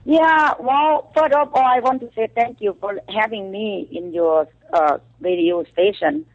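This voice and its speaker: A woman from Thailand with a Thai accent, calling in as a guest to a radio show and speaking halting English full of fillers. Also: a little high, dull audio. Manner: halting non-native English with frequent fillers. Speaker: call-in guest, author. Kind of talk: phone-in radio interview. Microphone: telephone.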